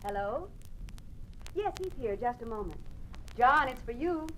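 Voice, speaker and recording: An actress playing a gentle, devoted fiancée in an old-time radio drama, speaking earnestly and with sympathy. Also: female voice, on the high side, lively intonation, measured pace, a little hiss or hum, rough recording. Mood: hospitable.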